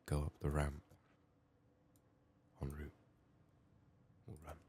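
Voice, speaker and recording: A man giving soft-spoken ASMR game commentary, murmuring haltingly and slipping into whispers, his voice strained from being sick. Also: extremely low pitch, completely flat delivery, a little hiss or hum. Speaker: ASMR gaming video host.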